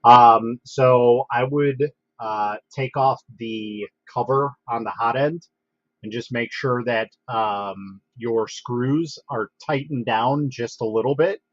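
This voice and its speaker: A man hosting a casual livestream, congested from a head cold, talking in a relaxed, halting way with lots of fillers and restarts. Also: medium pitch, dry recording. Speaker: livestream host. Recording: dull, dry lo-fi home stream audio.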